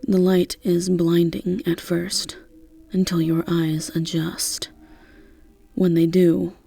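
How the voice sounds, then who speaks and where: Low-pitched woman narrating a story. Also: level tone, takes it slowly, creaky, warm tone, husky. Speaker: narrator and voice actor. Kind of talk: scripted second-person story narration.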